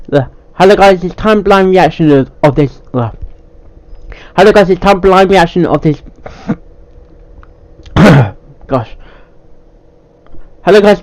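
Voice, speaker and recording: Halting man with a swooping, higher-pitched voice. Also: darkish tone, dry recording. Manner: halting, repeats and restarts phrases, stumbling over words. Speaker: reaction video host. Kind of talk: casual unscripted reaction commentary.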